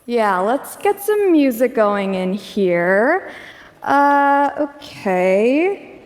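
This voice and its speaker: A woman's voice: on the high side, sing-song, extremely slow. Casual, chatty woman on stage. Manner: casual and chatty, with fillers and light laughs. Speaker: demo presenter. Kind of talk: live tech demo talk. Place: stage in a large auditorium. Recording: echoey hall.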